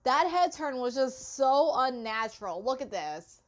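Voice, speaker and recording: A woman's voice: sits high, big pitch swings, unhurried, a little hiss or hum. A woman giving casual, spontaneous reaction commentary as a video host.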